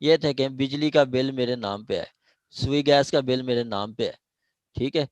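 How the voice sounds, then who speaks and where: A man's voice: mid-range voice, moderate pace, a little mellow, no echo. A man with a Pakistani accent giving an informal law lecture, explaining step by step and repeating his phrases.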